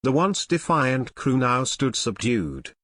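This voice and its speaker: A man's voice: medium pitch, sing-song, takes it slowly, a bit bright. A man narrating an audio drama in a scripted, dramatic storytelling style.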